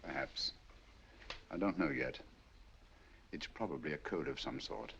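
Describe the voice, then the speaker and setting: Sherlock Holmes, cool and crisp. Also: male voice, extremely low pitch, expressive, fairly bright tone, highs cut off, heavily acted. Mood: measured. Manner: crisp, measured, lightly ironic. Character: sharp, cool-headed English detective, middle-aged man. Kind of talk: 1940s film acting.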